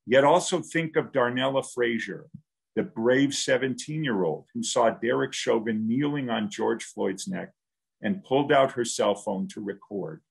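A man in his sixties giving a scripted commencement speech as a university dean, in a measured, formal way over a video call. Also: mid-range voice, vocal fry, husky. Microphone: video call, computer microphone.

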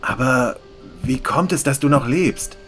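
A man voicing a skeptical bar patron in a horror radio play. His voice moves lively up and down. Faint music plays behind him. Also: medium pitch, a little slow. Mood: curious. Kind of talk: amateur horror audio drama.

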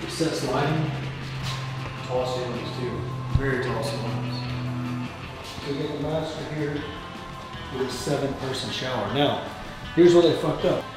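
Guy in his thirties, blunt and crude walkthrough talk, echoey bare rooms with loud music over it. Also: medium pitch, measured pace, pauses often. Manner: crude joking, blunt opinions. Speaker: real estate vlogger. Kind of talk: casual walkthrough commentary. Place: unfinished house under construction, indoors and outdoors.

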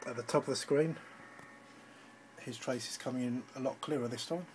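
A man's voice: mid-range voice, moderate pace, darkish tone, a little hiss or hum, rough recording. A man giving an informal, slightly hesitant live demonstration as an amateur radio operator, recorded on a handheld phone indoors. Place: indoors at a radio station desk.

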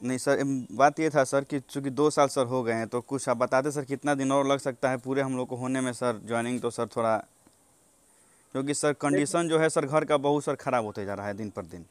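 A man's voice: mid-range voice, a little fast, clear, resonant tone, dry recording. A young man with a Bihari accent making a deferential phone inquiry, polite, insistent and repeatedly addressing the listener as sir. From Bihar, India. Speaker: job candidate calling an official.

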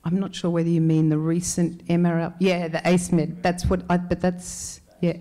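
A woman's voice: fairly low pitch, flat delivery, normal speed, dark tone. A woman speaking informally as a member of a discussion panel on stage. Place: large auditorium stage.

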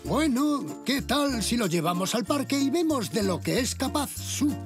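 A woman voicing a kindly, nostalgic grandfather zebra in a dubbed cartoon, gentle and encouraging. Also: fairly high pitch, big pitch swings, a little slow, faint music behind. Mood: fond.

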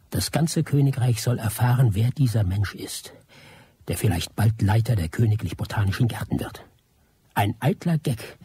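An actor playing a wily, vengeful expatriate adventurer in a German radio drama, with a sardonic, persuasive way of talking. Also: male voice, medium pitch, mellow, slightly rough. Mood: bitter, defiant.

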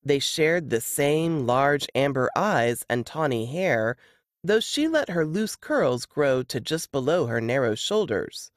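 A woman reads fiction aloud as a narrator, her intonation rising and falling in a lively way. The recording is dry and close, giving the storytelling an uncluttered sound. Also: rumbling low, takes it slowly, dry recording. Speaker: narrator reading a novel excerpt. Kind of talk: expressive audiobook-style narration.

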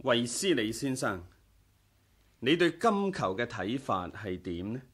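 An actor with a Hong Kong Cantonese accent playing a serene, tolerant young monk leader in a radio drama, gentle and courteous. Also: male voice, mid-range voice, sing-song, a little slow, husky, full character voice. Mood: calm, open.

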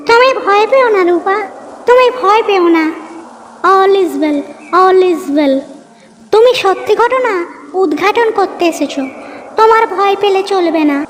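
A woman voicing a brave, determined and kind village girl in a children's cartoon, speaking in an earnest, deliberate way. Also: very high-pitched, lively intonation, slight background noise. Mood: nervous resolve.

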